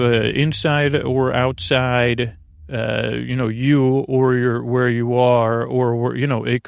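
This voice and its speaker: A man with a creaky voice hosting a sleep podcast in a lulling, soothing, meandering monologue that halts and wanders. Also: mid-range voice, no room sound, clean studio sound. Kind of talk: rambling, tangent-filled bedtime monologue.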